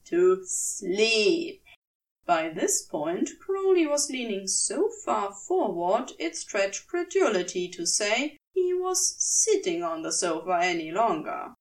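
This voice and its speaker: A woman reading a scripted story aloud as an amateur audiobook narrator, with expressive delivery. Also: medium pitch, a little slow, few pauses, far from the mic, low-quality recording.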